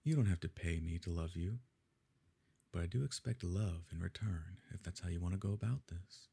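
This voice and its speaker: A man playing a possessive evil nobleman in an ASMR romance roleplay, speaking in a measured, formal, quietly coercive way with a husky, gravelly voice. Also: low voice, full character voice. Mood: smoothly coercive. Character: smooth, possessive evil nobleman courting a grieving beloved. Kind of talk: ASMR romance roleplay, scripted dramatic monologue.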